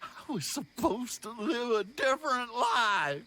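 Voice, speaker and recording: An actor playing a bitter, destitute old Southern gentleman in a video game, rambling in self-pity with an American Southern accent. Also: extremely high pitch, very animated, heavily acted. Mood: anguished indignation. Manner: slow, maudlin, rambling lament. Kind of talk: video game cutscene acting.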